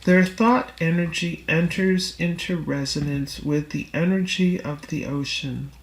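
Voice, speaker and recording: Female audiobook reader for spiritual text: unhurried, deliberate, mid-range pitch, recorded in a reverberant room.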